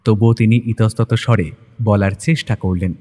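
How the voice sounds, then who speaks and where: A man's voice: lowish voice, steady tone, normal speed, dark tone. A man narrating a scripted detective audio story in a dramatic storytelling style. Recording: music bed under narration.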